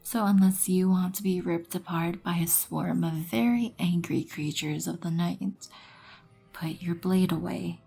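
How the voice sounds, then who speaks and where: A woman performs a regal vampire queen for an ASMR roleplay, low and breathy with a creaky edge. She speaks softly and with measured poise, haughty yet wryly merciful, over background music. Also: fairly even intonation, exaggerated voice. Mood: calm, firm. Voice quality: breathy, often near whisper.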